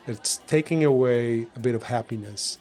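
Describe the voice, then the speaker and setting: Rambling animated man co-host. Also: medium pitch, normal speed. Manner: rambling, animated, many restarts and laughs. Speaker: podcast co-host. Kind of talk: casual chat.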